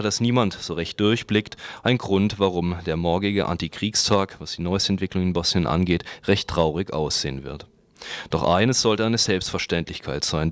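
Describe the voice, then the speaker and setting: A man's voice: deep voice, no room sound. A man reads a prepared political commentary. He works through long written sentences as a radio commentator, recorded close and dry with no room around him. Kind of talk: scripted political commentary, read aloud.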